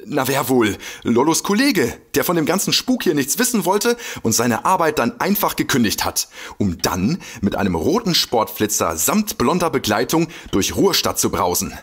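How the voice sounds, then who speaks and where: An actor playing an excitable, boastful detective with a husky voice in a comedy mystery audio drama. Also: male voice, medium pitch, moderate pace. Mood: suspicious, knowing. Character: excitable, boastful male detective eager to explain. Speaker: amateur detective.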